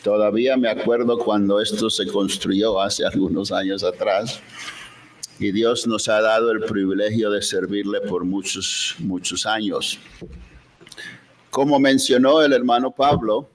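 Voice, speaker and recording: Older island-born pastor, english is his first language, talks slow and thoughtful, telling his story. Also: fairly low pitch, fairly even intonation. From Colombian island of Providencia. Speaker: visiting pastor. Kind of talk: chapel sermon with personal testimony.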